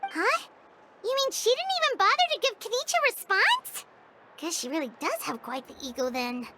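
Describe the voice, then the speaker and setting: A woman voicing a tiny, excitable, blunt fairy companion for a video game, with a squeaky childlike voice. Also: normal speed, very noisy. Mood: curious, chirpy. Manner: refers to self in third person, outspoken. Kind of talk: English video game voice acting. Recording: studio voice under loud game music. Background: loud music.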